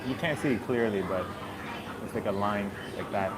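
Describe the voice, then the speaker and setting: Easygoing man over loud street traffic. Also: on the low side, moderate pace. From Southern Vietnam. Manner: rambling, filler-heavy and easygoing. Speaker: vlogger. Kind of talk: casual chat with live viewers. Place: busy street outdoors. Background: loud traffic and street noise.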